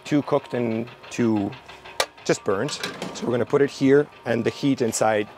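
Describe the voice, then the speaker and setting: A young man cooking outdoors at a barbecue, relaxed and explaining each step as he works. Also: mid-range voice, measured pace. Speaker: cooking show chef. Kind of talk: cooking demonstration. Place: outdoor garden by a barbecue grill.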